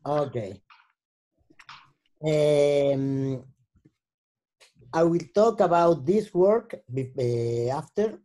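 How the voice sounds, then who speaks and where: A man whose first language is Spanish, lecturing in halting English on a video call and searching for words. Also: a little high, animated, warm tone. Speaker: guest lecturer. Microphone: laptop mic on a video call.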